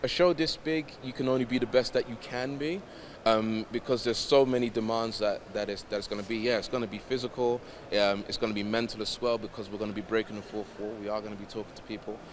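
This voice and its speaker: A man's voice: lowish voice, fairly even intonation, fast. A man in his thirties interviewed at a busy indoor event, talking eagerly and repeating words. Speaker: actor interviewed. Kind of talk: casual interview. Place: large indoor hall, event. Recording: noisy hall with vehicles.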